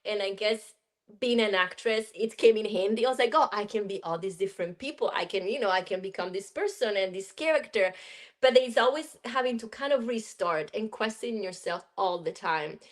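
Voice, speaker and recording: A woman talks as an interview guest. She tells stories at an easy, conversational pace, often pausing on "you know" and "um". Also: mid-range voice. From Colombia. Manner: warm storytelling with many fillers. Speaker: film director.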